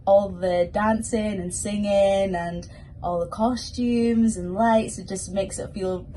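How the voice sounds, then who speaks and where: Casting: Scottish female performer, soft-edged mellow tone, mid pitch, chatty children's Q&A, some background noise. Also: fairly even intonation, slow pace, low-quality recording. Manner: chatty, informal. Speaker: pantomime performer reading out questions. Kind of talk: casual spoken question-and-answer for children.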